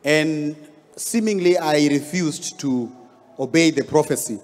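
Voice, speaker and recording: Kenyan male pastor, 30s, fairly high voice, storytelling sermon, hall echo, handheld mic. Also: a little slow, faint music behind. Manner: storytelling, rising emphasis on key words. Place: large church hall stage. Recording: long hall reverb.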